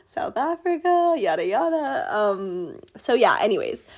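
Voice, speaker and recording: A woman's voice: fairly high pitch, sing-song, a little slow. A young woman co-hosting a casual chat podcast, teasing and laughing often. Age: young adult. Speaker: podcast co-host.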